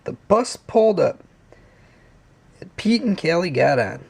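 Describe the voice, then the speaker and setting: Slow, animated man reading a children's storybook. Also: sits high, creaky, breathy edge. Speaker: storybook narrator. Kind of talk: children's read-aloud narration.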